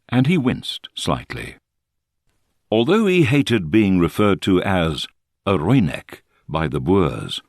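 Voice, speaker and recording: A man reading a literary story as an audiobook narrator, with measured, expressive storytelling. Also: sits low, dry recording, studio quality.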